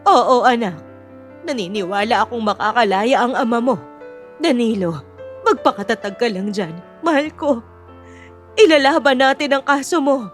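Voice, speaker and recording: Woman playing a high-voiced, distraught mother. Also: normal speed, long pauses, faint music behind, natural voice. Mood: devoted. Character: distraught, devoted, loving middle-aged mother.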